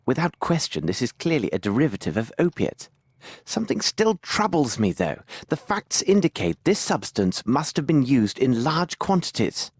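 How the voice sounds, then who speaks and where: An actor playing a brisk, analytical English gentleman detective in a video game, speaking formally and precisely. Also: medium pitch, very animated, slightly rough, dry recording, studio quality. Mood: intent. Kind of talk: video game cutscene acting.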